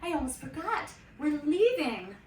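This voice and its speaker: Storybook farm girl played by a woman: earnest, caring, exclamatory, lively pitch, echoey room. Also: a little slow. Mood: dismayed. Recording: roomy, distant mic with faint noise.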